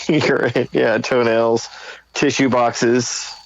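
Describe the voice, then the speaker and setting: A man's voice: medium pitch, level tone, very slow, vocal fry. A man co-hosting a podcast, talking casually on a slightly dull recording. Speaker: podcast co-host.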